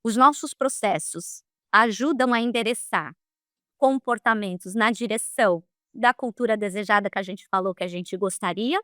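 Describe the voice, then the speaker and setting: A Brazilian woman of middle age, a guest speaking in a casual interview, lively and quick to laugh, often ending phrases with "né?". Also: mid-range voice, no room sound, clean studio sound. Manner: lively, animated, quick to laugh, frequent "né?" tags. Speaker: guest, HR leader.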